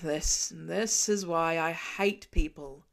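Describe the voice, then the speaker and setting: A gruff, sarcastic man, as a woman reads him mutters his lines low and dry. He sounds wry and unimpressed, pitched down from her own reading voice. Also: takes it slowly, full character voice. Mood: grumbling.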